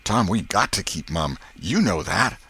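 A man's voice: low-pitched, very animated, a little slow, pushed and bright, husky, voice pushed up, heavily acted. A man voicing an earnest, easily scared ragamuffin boy in a storybook, plaintive and speaking rustic dialect.

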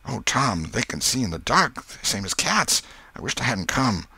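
A man voicing a superstitious country boy in a storybook, hushed with dread and drawling in rustic dialect. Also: mid-range voice, very animated, normal speed, gravelly, breathy edge, dry recording, voice pushed up, heavily acted. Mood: terrified.

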